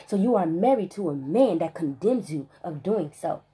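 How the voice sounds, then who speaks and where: A woman vlogger in a spiritual rant monologue, emphatic and profane, stressing single words with long pauses. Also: lowish voice, animated, dark tone, breathy edge.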